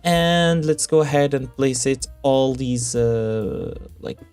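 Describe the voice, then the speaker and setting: A young man who is sick and whose voice keeps cracking, narrating his Minecraft build in casual commentary, halting with lots of fillers and self-corrections. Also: mid-range voice, darkish tone, soft background music. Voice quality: cracky, rough voice.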